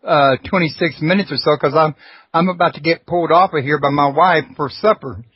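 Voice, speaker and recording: A man's voice: fairly high pitch, brisk, husky, no echo, dull audio. A man from Houston, hosting a radio talk show in an easygoing, joking way. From Houston, Texas.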